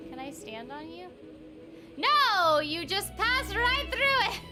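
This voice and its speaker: High-pitched woman streamer over game music. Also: big pitch swings, slow. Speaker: game livestream host, voice actor. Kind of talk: casual livestream chat while playing a game. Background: video game music.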